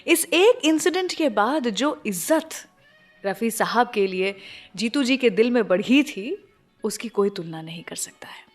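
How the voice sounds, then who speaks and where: An Indian woman presents a radio show in a conversational storytelling style. Her voice sits on the high side. She addresses listeners directly, with light music playing behind her. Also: animated, measured pace. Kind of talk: scripted radio storytelling between songs. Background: background music.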